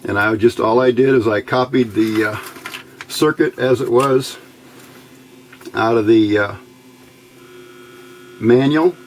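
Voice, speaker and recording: A man's voice: medium pitch, slow pace, pauses often. A man giving a casual hands-on electronics demonstration, informally thinking aloud in short phrases. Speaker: electronics hobbyist presenter. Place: indoors at a workbench. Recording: noisy home-video recording.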